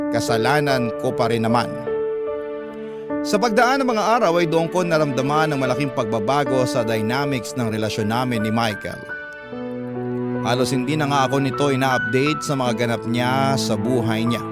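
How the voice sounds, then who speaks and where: A man narrates a dramatic love story in the first person, radio storyteller style. His voice is mid-pitched, and music plays strongly behind him. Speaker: host and storyteller. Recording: music bed under the voice. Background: background music.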